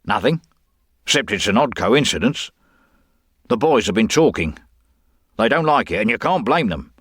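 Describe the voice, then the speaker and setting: A scowling minor gangster from a crime story, put on by a male reader, growling his words with suspicion. The voice is low and moves in lively swings. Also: normal speed, husky, no echo, clean studio sound, heavily acted. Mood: sullen.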